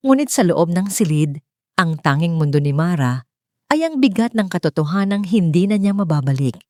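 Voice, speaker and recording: A woman reads a dramatic story as narrator. Her voice is rather low and very mellow, recorded dry and close with no room sound. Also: big pitch swings, moderate pace, no room sound.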